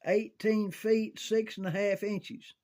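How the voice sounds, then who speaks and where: An older man telling casual, rambling recollections in a halting, folksy way, as if thinking aloud. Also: sits high, steady tone, darkish tone. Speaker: amateur storyteller reminiscing about CB radio.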